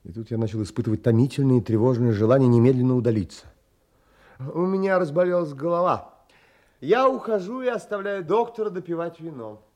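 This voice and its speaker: An actor playing a pensive, dreamy, unsettled gentleman who narrates an audio play in measured, dramatic phrases. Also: on the high side, lightly acted. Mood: troubled, puzzled.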